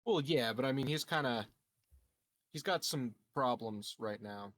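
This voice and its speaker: Man, medium pitch, casual game banter, wry, dry close sound. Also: measured pace, fairly bright tone, dry recording. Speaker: player.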